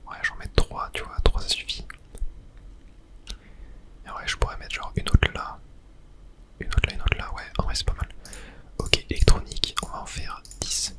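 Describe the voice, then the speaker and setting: An ASMR gamer commenting on their own play in a breathy, unvoiced whisper, casually muttering to themselves with slangy asides. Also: normal speed, long pauses. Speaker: video game streamer narrating own play.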